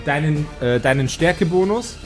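A man narrating an improvised tabletop role-playing game as game master, in a deliberate, descriptive way. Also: a little high, expressive. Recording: background music under the voice.

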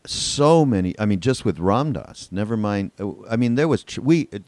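A man's voice: on the low side, expressive, normal speed, a little mellow, no echo. An older man hosting a podcast in casual, conversational reminiscence. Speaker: podcast host.

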